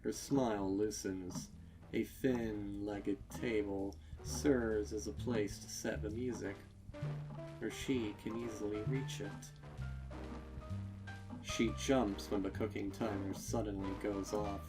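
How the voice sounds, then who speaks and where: A man reading a scripted dark story as narrator, in a measured, deliberate and suspenseful way. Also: fairly low pitch, steady tone, over music, rough recording. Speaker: narrator of a horror fan fiction reading.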